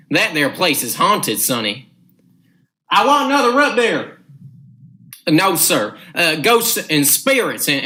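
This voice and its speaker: A man's voice: on the high side, sharp tone, full character voice. An actor playing a superstitious, garrulous old man with a rambling rustic drawl in a radio drama. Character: folksy superstitious old male storyteller, garrulous and emphatic.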